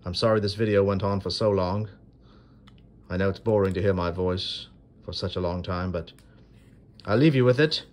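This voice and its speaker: A man hosting his own coin video, narrating an unboxing from off camera in a dry, self-deprecating way with unhurried pauses. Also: sits low. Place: indoors at a marble tabletop. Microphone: phone camera held over a table.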